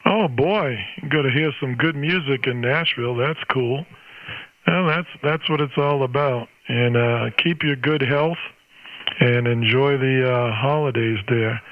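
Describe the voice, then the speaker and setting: A man's voice: medium pitch, a little slow, no room sound, highs cut off. A man in his sixties chatting on amateur radio in a friendly, folksy way, full of exclamations, over band-limited radio audio. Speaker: amateur radio operator and channel host. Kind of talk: friendly on-air radio contact chat.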